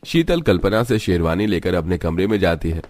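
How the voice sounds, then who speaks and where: A man's voice: sits low, very animated, fairly quick, darkish tone, no echo, clean studio sound. A man narrating a scripted family story for a cartoon.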